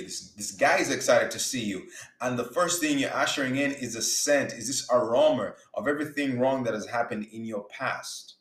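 A man from Uganda giving an earnest motivational talk about faith and business, repeating words for emphasis. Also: medium pitch. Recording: roomy echo.

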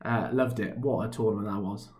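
A man's voice: fairly low pitch, fairly even intonation, fairly quick, a little mellow. A Welsh man in his twenties co-hosting a football podcast, chatting casually and gushing, often repeating words for emphasis. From Wales. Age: 20s. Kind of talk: casual sports chat.

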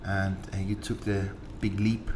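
A man's voice: low voice, flat delivery, measured pace, background noise. A man hosting an interview podcast, chatty and cutting in with short questions. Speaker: podcast host.

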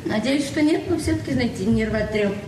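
A woman playing a modest middle-aged librarian and mother in a radio drama, weary and quietly ironic. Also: a little high, fairly quick, far from the mic, lightly acted. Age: 40s. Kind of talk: understated Soviet radio play acting. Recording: reverberant, noisy old 1970s radio recording.

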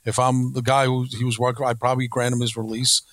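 A man's voice: mid-range voice, level tone, fast talker, a bit bright, no echo. A man co-hosting a wrestling podcast in casual, opinionated chat, emphatic and repeating words when worked up.

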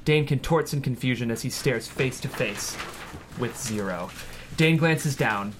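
A man's voice: medium pitch, measured pace, background noise. A man reading scripted screenplay stage directions as the narrator of a table read.

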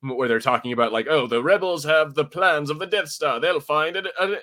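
A man's voice: fairly high pitch, a little fast, bright, forceful tone. An American man co-hosting a podcast, chatting casually in a rambling, informal way about something he follows as a fan. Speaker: podcast co-host reviewing a TV episode. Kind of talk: casual chat, fan commentary.

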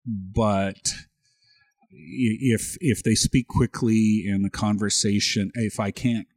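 A man's voice: fairly low pitch, a little mellow, breathy edge, no room sound, clean studio sound. A middle-aged Canadian man whose first language was French, co-hosting a casual podcast chat and often repeating words as he starts a sentence. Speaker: podcast co-host.

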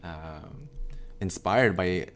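Low-voiced hesitant young man. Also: very slow, a bit bright, soft background music. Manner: hesitant, thoughtful, many restarts. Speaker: conversation partner. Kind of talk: casual discussion.

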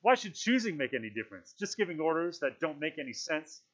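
An aggressive boy hunter from a storybook acted by a male reader, loud and raised in pitch. He sneers and mocks bitterly, with big swings in intonation. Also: very animated, quick pace, highs cut off. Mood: contemptuous. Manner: bitter, mocking, shouting. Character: aggressive, contemptuous boy hunter challenging the leader.

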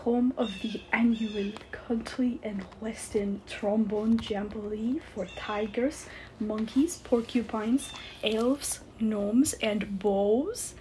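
A woman voicing a small, boastful, theatrical male fantasy creature with an exaggerated French accent, declaiming pompously and excitedly in a storybook reading. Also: a little high, takes it slowly, a little hiss or hum, poor audio. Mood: excited, shrieking. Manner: shrieking, bouncing, pompous declamation.

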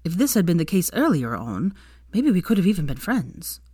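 Draco, read by a woman, low and wry. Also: sing-song, moderate pace, warm tone, husky, no room sound, studio quality. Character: Draco, adult man teacher, dry and mischievous.

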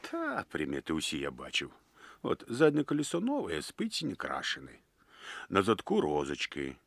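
Deep, husky Ukrainian village militiaman. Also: wildly swooping pitch, normal speed, fairly bright tone, no room sound, exaggerated voice. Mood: alert, urgent. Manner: folksy, exclamatory surzhyk speech. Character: earthy, deferential village militiaman, flattering his boss. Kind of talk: radio play acting.

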